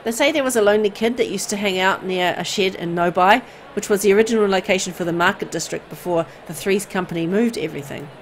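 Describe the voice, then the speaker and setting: A woman reading visual novel text aloud as expressive, storytelling narration. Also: mid-range voice, quick pace, hardly any pauses, slight background noise. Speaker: video game player and narrator.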